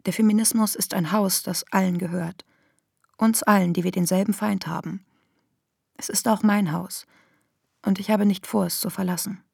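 An actress playing an embattled, defiant feminist internet activist, delivering a sardonic, polemical manifesto in a radio drama with a husky voice. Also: female voice, medium pitch, fairly even intonation, moderate pace, darkish tone, no echo, studio quality. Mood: defiant, weary.

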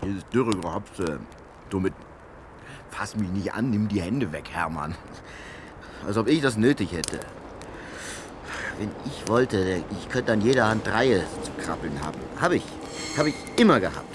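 A big, slow-witted homeless man with a low voice, in a crime radio drama. He is evasive and terse, given to grunts and bragging, over faint noise. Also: animated. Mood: boastful, irritated. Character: boastful, thick-witted homeless giant, evasive and menacing-soft.

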